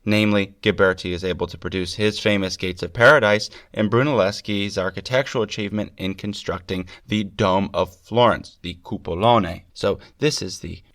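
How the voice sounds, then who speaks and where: A man with a fairly low voice hosts a lecture-style podcast, a little gravelly and stuffed up from a cold. He lectures with eager emphasis. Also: fairly even intonation, normal speed, hardly any pauses, a bit bright. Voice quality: slightly gravelly. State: congested with a cold. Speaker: podcast host. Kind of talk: scripted lecture on art history.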